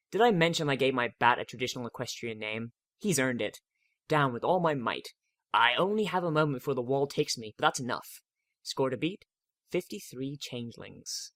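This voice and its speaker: A man giving a dramatic first-person reading of a story, expressive and wry. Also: medium pitch, no echo, studio quality. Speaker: narrator of a fan fiction reading.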